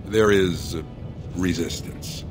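A man voicing an earnest, pious dwarf missionary in a video game, speaking in a gently persuasive way. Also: low-pitched, steady tone, slow pace. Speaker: preacher. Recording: game audio with music underneath.

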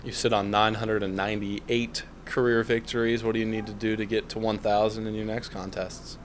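A young man interviews a coach with a handheld mic in an indoor lounge. His voice sits low and stays flat, and he delivers his questions in a smooth, composed broadcaster manner. Faint room noise is heard behind him. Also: a little fast. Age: young adult. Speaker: sports network interviewer. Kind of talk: scripted sports interview questions. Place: indoor lounge with large windows.

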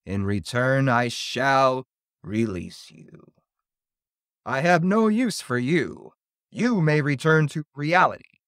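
A man voicing an imperious, ancient evil pumpkin demon in a horror story, booming and pompous. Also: lively intonation, a bit bright, dry recording, clean studio sound. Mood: grand, commanding. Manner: slow, pompous pronouncements.

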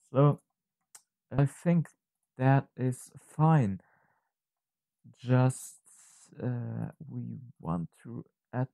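A man gives an unscripted screen-recorded tutorial at an unhurried pace. His voice is soft-edged and mellow, and he explains each step hesitantly, often halting to find the next words. The sound is close and dry. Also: mid-range voice, gravelly, no room sound. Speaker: tutorial presenter.

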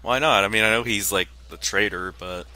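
Male co-commentator, lower pitch, very forceful bright tone, casual gaming chat, faint background noise. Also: brisk. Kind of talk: casual chat over gameplay.

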